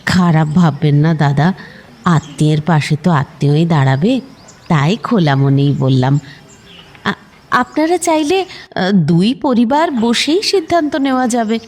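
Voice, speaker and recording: An actress playing a polite but probing middle-aged neighbour woman in an audio drama, speaking haltingly and hesitantly. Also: fairly low pitch, expressive, darkish tone. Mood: hesitant, tactful.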